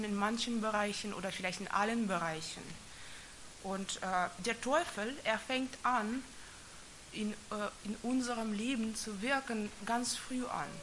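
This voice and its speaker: A woman from the former Soviet Union, speaking German as a second language, gives a personal faith testimony and reads scripture in a halting, hesitant way with frequent restarts. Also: medium pitch, noisy background. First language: not German. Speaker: church member giving testimony.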